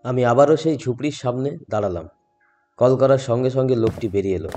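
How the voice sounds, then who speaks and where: Male first-person horror narrator, soft dark tone, music underneath. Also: mid-range voice, fairly quick. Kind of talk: scripted horror story narration. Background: background music.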